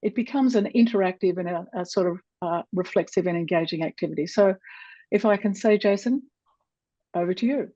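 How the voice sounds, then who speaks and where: A woman's voice: on the low side, fairly quick, mellow, dry recording. A woman hosting a webinar panel, introducing speakers and inviting questions over a video call, with some fillers. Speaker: webinar host, moderator. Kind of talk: moderating a webinar. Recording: dry, dull video call audio.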